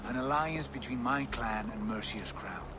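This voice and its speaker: An actor playing a steady, diplomatic Viking clan leader in a video game cutscene, composed and reassuring. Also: male voice, medium pitch, moderate pace, noisy background, lightly acted. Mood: firm.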